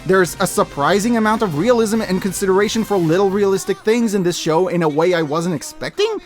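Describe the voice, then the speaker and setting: A man's voice: high voice, a little fast, soft background music. A man giving a casual, scripted video review, speaking emphatically with sarcastic asides.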